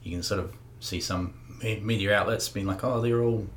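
A man's voice: on the low side, steady tone, moderate pace, slight background noise. A man hosting an interview, chatting in a laid-back, casual way with everyday slang. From New Zealand. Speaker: podcast host.

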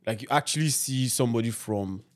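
A man's voice: medium pitch, fairly quick. Nigerian guy in his 30s, mid voice, tells stories with lots of acted-out reactions. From Nigeria (Ibadan, Lagos). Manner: animated storyteller, acts out reactions. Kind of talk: casual chat.

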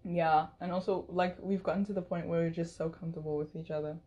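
Low-voiced young woman, relaxed chat. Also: fast talker, highs cut off. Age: young adult. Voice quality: low, soothing voice. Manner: relaxed, agreeable, many backchannels. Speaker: podcast co-host. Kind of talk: casual chat. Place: dorm room.

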